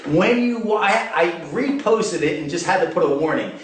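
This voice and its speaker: A middle-aged man hosting a motivational interview, talking with upbeat, energetic hype. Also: high-pitched, moderate pace, a little hiss or hum. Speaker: host. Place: indoor event room. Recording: echoey room, distant mic.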